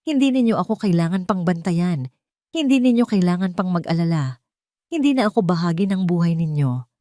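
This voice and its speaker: A proud young wife who has been wronged, voiced by a woman narrator, speaks with firm, emotional defiance. Her voice is slightly low, in a dry audio drama recording. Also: expressive, brisk, warm tone, dry recording, natural voice. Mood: angry, resolute.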